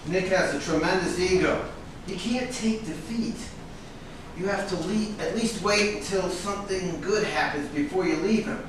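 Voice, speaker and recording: A man's voice: high-pitched, moderate pace, natural voice. An actor playing a blunt, protective longtime friend of a troubled man in a staged play reading. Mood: confronting. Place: echoey indoor room at a staged reading. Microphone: distant microphone. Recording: very echoey, distant, noisy room.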